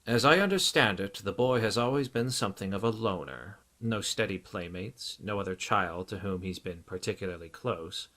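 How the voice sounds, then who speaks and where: A man voicing a stout psychiatrist in an audiobook, grave and solicitous, with an earnest, deliberate, clinical manner. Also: on the low side, fairly bright tone, natural voice. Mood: clinical, sympathetic. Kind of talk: audiobook character acting.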